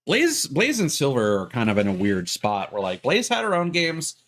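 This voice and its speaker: A man giving casual live game commentary, rambling and thinking aloud with halting, mid-sentence pauses. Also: medium pitch, wildly swooping pitch, fairly bright tone. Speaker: game streamer. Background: video game music.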